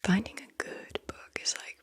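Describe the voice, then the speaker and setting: A woman whispering softly and breathily as she hosts a soothing, deliberate ASMR guided visualization. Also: no room sound. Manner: soothing, slow and deliberate, repeats words softly. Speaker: ASMR host guiding visualization exercises.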